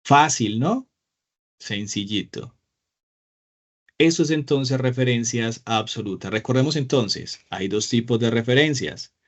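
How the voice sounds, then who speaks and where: A man's voice: medium pitch, measured pace. A man teaching a live online spreadsheet class, patient and encouraging, often asking the class questions. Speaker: Excel teacher. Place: small room indoors. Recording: slightly dull lo-fi sound.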